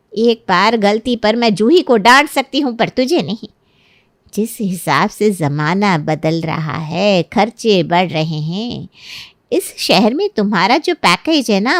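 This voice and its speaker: A woman's voice: fairly high pitch, no echo, clean studio sound. A woman voicing a scheming middle-aged mother of a bride in a cartoon, nagging and lecturing persuasively. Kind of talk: Hindi cartoon story acting.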